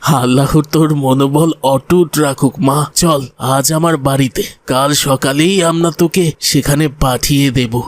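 A man's voice: mid-range voice, fairly even intonation, a little slow, no room sound, natural voice. A man voicing a kindly village cleric with a paternal, reassuring manner in a moral cartoon. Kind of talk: Islamic moral cartoon acting.

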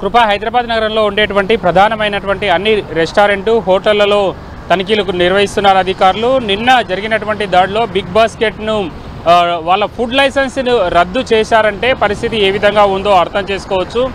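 Very high-pitched male street reporter. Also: fairly quick, a bit bright, rough recording. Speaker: field news reporter. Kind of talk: live unscripted news report. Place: busy city roadside, outdoors. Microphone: handheld reporter microphone. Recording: faint outdoor street noise. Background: faint traffic.

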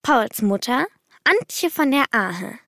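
A woman's voice: a little high, sing-song, takes it slowly, bright, forceful tone, no room sound, studio quality. A woman reading scripted credits as the announcer of an audio drama.

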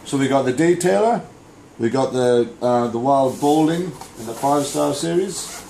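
A man's voice: mid-range voice, mellow, a little hiss or hum. A man in his thirties with an Australian accent, a barber casually demoing a tool kit with enthusiastic, informal patter, recorded on a camera in a small barbershop. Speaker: barber reviewing products. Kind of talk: casual product demo. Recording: roomy shop with faint ambience.

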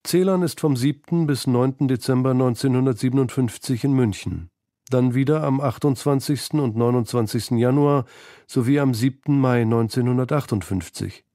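Male narrator for literary feature. Mellow, mid-pitched, recorded dry. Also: moderate pace, no echo. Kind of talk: scripted literary documentary narration.

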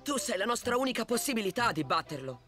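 A woman voicing an excitable, loyal young tiger cub sidekick in a children's cartoon. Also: a little high, quick pace. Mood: worried. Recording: music behind.

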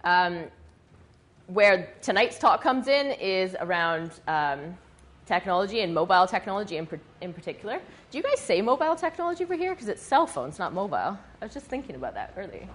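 A woman from western Canada with a scratchy, husky voice, giving a chatty slide presentation with many ums, recorded from a camera across a dim room. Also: medium pitch, sing-song, fairly quick, fairly bright tone. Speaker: guest speaker. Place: dim meeting room with projector. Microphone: far camera.

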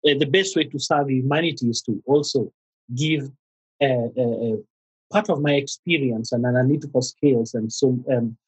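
A middle-aged man with a Kenyan accent, a therapist speaking as a podcast guest, pausing often with fillers and restarts. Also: mid-range voice, darkish tone, breathy edge, poor audio. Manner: halting, many fillers and restarts. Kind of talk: conversational interview.

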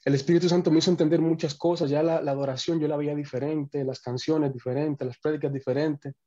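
A man's voice: a little high, little pitch movement, fast, mellow, muffled recording. A young man from the Dominican Republic, a worship musician, talking earnestly over a video call and often restarting his sentences. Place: small home room.

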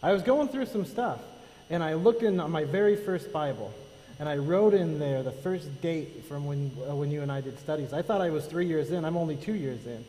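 A man in his thirties on stage at church, answering in brief, relaxed replies with dry humor. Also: fairly high pitch, fairly quick. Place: large church sanctuary. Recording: long church hall reverb with faint noise.

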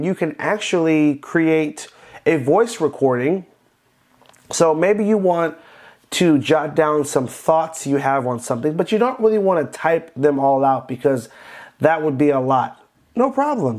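A man's voice: fairly high pitch, a little mellow. A man hosting a step-by-step tech walkthrough for beginners, explaining simply and reassuringly. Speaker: tech tutorial host.

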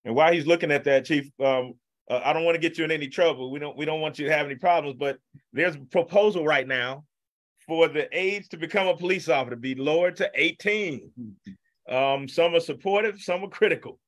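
A man's voice: a little high, fairly quick. A man hosting a video call discussion, asking questions politely with a lot of hesitation. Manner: polite, hesitant, lots of ums. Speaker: host.

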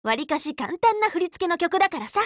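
A woman's voice: extremely high pitch, big pitch swings, fast talker, no room sound, clean studio sound. A woman playing an upbeat, loyal, tomboyish teenage magical girl in an anime game, speaking in a casual, frank, boyish way. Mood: playful. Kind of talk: anime game character voice lines.

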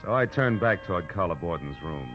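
Low voiced tough american private eye, dry wisecracks, old muffled radio show with music behind. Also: male voice, big pitch swings, fairly quick. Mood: grim, narrating. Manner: terse, sardonic, wisecracking. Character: hard-boiled, wisecracking male private detective narrating his case. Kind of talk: 1940s radio detective drama acting and narration.

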